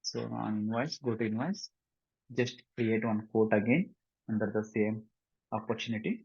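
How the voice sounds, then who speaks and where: A man with an Indian Telugu accent gives a step-by-step software tutorial as a trainer, mixing two languages and often checking that learners follow with short questions. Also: lowish voice, extremely slow, slightly rough. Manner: instructional, repeatedly checking understanding with short questions. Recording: dull, roomy lo-fi screen recording.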